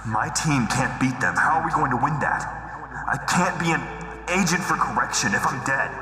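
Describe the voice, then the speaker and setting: A man's voice: mid-range voice, lively intonation, normal speed, lightly acted. A man playing a blunt, defiant sergeant who talks urgently and questioningly in a sci-fi drama. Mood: defiant, worried. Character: gruff, defiant young soldier sergeant, bewildered and blunt. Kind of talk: dramatic sci-fi audio acting. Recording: cavernous long reverb, music behind.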